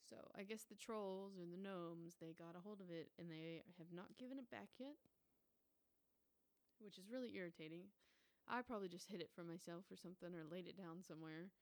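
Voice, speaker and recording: A woman's voice: fairly low pitch, brisk. Woman, mid-twenties, solo podcast host; loose, profane, rambling delivery. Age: 20s. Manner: casual, profane, rambling with fillers. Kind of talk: casual solo podcast rant.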